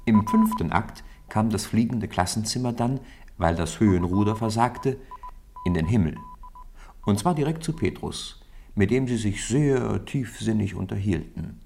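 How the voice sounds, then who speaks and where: A man's voice: lowish voice, expressive, measured pace, gravelly, a little mellow, soft background music. A man narrating a children's radio play in scripted storybook style.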